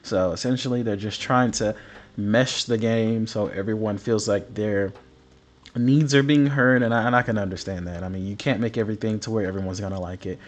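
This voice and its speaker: A man's voice: lowish voice, steady tone, brisk. A man hosting a gaming video, talking through patch notes in a casual, rambling, apologetic way while sick and partly losing his voice. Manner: rambling, apologetic, many fillers. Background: faint background music.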